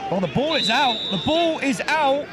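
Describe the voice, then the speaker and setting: A man giving lively, joking play-by-play commentary on a live football game outdoors. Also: very high voice, normal speed, over a noisy crowd. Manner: lively, jokey play-by-play, laughs easily.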